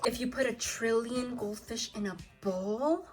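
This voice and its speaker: A woman's voice: a little high, moderate pace, soft background music. A woman teaching young children through a read-aloud lesson, reading from a book and prompting pupils with questions and short instructions. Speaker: second-grade teacher.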